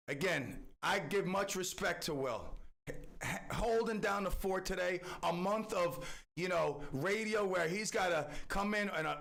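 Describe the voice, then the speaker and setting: A man's voice: high voice, creaky, fairly bright tone. A middle-aged man hosting a talk radio show, ranting in a boastful, theatrical way. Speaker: radio host.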